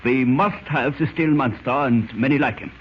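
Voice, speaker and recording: An actor playing a cold, fanatical German spymaster in a 1940s radio drama, with a German accent and a formal, deliberate, menacing manner. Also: male voice, medium pitch, natural voice. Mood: cold, intent. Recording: scratchy, muffled old-time radio recording.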